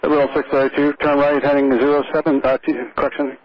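A man working as an air traffic controller, giving calm, reassuring procedural instructions over the radio. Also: on the high side, quick pace, muffled recording.

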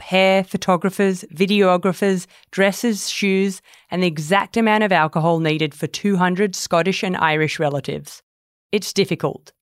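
A woman's voice: fairly low pitch, dry recording, studio quality. A woman reading her own first-person piece in a wry, self-deprecating way. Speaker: writer reading own article. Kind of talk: scripted first-person narration.

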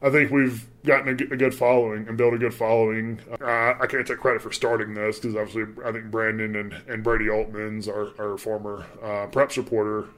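A man's voice: lowish voice, flat delivery, brisk, hardly any pauses, fairly bright tone. A young man with a mild Southern accent, chatting loosely on a podcast with many fillers and restarts. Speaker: departing sports reporter. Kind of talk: casual podcast chat.

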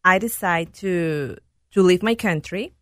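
A woman's voice: on the low side, a little slow, a bit bright, dry recording, studio quality. A woman from Peru, a talk-show guest telling her story earnestly and sometimes searching for words in English. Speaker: guest, program director. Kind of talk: casual radio talk-show conversation.

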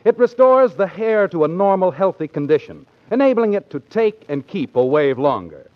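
Lively high-voiced 1940s American radio announcer. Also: male voice, moderate pace. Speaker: commercial announcer. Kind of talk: 1940s radio advertising read. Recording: dull 1940s radio recording.

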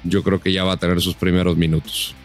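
Low-voiced Mexican man analyzing football. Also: level tone, soft background music. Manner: measured, analytical, many "eh" fillers. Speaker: sports podcast panelist. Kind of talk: casual football analysis chat.

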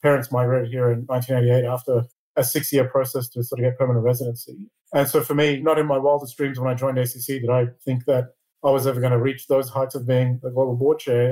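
A middle-aged man born in Iran who speaks answers interview questions in a rapid, level voice. His tone is mellow and dark. He is measured and often repeats a word as he starts a phrase. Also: mid-range voice, poor audio. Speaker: interview guest, lawyer and board chair.

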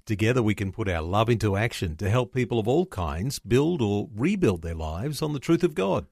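A man's voice: on the low side, sing-song, moderate pace, gravelly, a little mellow, no room sound. A man with an Australian accent reading a scripted promotional appeal as a chief executive, close to the microphone. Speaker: media organisation chief executive.

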